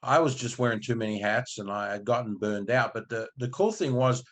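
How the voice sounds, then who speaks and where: A man from Australia in his fifties, a marketing agency owner and guest, telling his story in a relaxed, rambling way with many restarts. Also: mid-range voice, a little fast. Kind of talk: casual interview storytelling.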